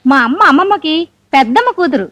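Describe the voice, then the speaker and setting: An actress playing the bride's relative, rattling off explanations in a film comedy. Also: female voice, high voice, very animated, fast, fairly bright tone, no echo. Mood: chatty.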